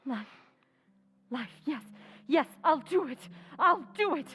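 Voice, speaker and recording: Woman playing a very high-pitched, frightened wife. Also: animated, fairly bright tone, soft background music, exaggerated voice. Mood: desperate. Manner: halting, breathless repetition, highly emotional. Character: frightened, desperate, suspicious wife. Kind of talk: old-time radio horror acting.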